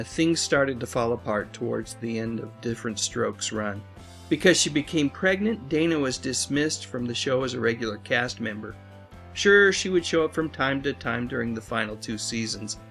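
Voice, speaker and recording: Man narrating earnestly over music, slightly creaky. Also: mid-range voice, animated, moderate pace, a bit bright. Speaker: host, pop culture commentator. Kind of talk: scripted video essay narration. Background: music bed.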